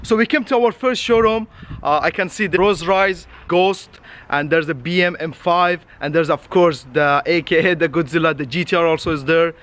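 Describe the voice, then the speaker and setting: A man's voice: fairly high pitch, normal speed, fairly bright tone. A young man in his twenties talking to a handheld camera while walking through an outdoor car lot, in chatty, non-native English. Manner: non-native phrasing, chatty, pointing out cars. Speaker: vlogger. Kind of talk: casual walk-and-talk vlog. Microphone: camera held at arm's length. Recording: faint outdoor street noise.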